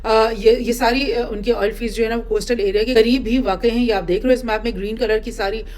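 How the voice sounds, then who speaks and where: A woman gives informal news commentary as a host, explaining and rephrasing her points in a halting way. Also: on the high side, steady tone, darkish tone. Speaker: host presenting news analysis.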